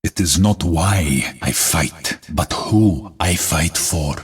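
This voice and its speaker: Battle-hardened game warrior, very husky and gritty, low-pitched, solemn, resolute. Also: male voice, exaggerated voice. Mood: grave, resolute. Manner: solemn, deliberate declamation. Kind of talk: video game character voice lines.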